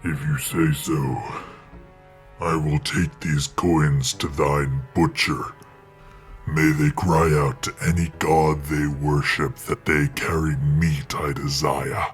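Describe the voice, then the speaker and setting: Giant ancient man-eating cartoon monster, super deep and really slow, menacing and grandiose. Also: flat delivery, faint music behind. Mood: grim, menacing. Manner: slow, archaic, grandiose speech. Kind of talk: animated fantasy parody monster dialogue.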